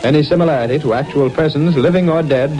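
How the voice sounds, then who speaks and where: An actor serving as a grave, declamatory announcer for an old radio crime-fighter serial, with music behind him. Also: male voice, fairly high pitch, quick pace, natural voice. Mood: dramatic, grave. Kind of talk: 1930s-40s radio announcing. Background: loud music.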